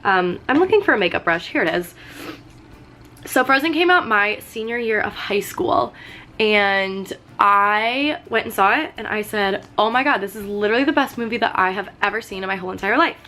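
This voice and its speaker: A woman in her twenties chatting casually while doing her makeup, full of "like" and "um", her voice slightly hoarse from getting sick. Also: mid-range voice, animated, normal speed, sharp tone. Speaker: vlogger. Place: sitting on a fur rug at home.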